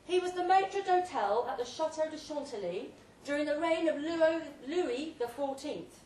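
A woman giving a theatrical, deliberate live reading of a comic short story, with dramatic pauses. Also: sits high, expressive, darkish tone. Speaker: story reader at a live literary event. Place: pub event room, before an audience. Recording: roomy, distant live recording, faint noise.

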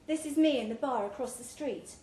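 A woman's voice: sits high, brisk, dark tone, close to an everyday voice. A woman reader voicing a restless, guarded woman traveller with a hesitant manner in a story. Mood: guarded, confiding. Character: restless, guarded woman drifter from England, awkwardly chatty. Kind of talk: character dialogue in a live story reading. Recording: roomy live recording with background noise.

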